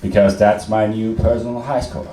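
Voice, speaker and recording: Austrian-accented man doing halting stand-up. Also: on the low side. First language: German. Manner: deadpan self-mocking, halting with many fillers. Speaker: stand-up comedian. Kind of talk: stand-up set. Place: comedy club stage. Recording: roomy club sound from a distant mic, loud crowd.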